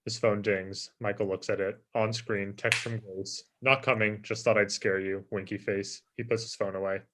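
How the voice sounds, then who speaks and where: A man narrates a script's stage directions at a table read. His voice sits a little low, and he reads in a plain narrating style. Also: level tone, normal speed, noisy background.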